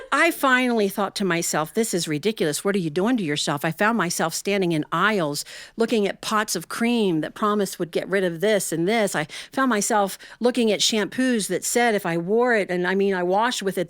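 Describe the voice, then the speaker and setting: A middle-aged woman telling humorous personal stories to an audience in a folksy, animated way, acting out bits of dialogue. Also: mid-range voice, no echo, studio quality. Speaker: storyteller.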